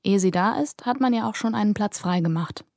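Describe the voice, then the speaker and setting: Calm woman narrating a fantasy audiobook. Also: medium pitch, a little fast, dry recording, clean studio sound.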